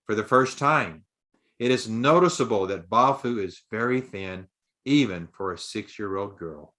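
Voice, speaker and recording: A man narrating a scripted story in a deliberate, dramatic audiobook style. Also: on the low side, expressive, bright, forceful tone.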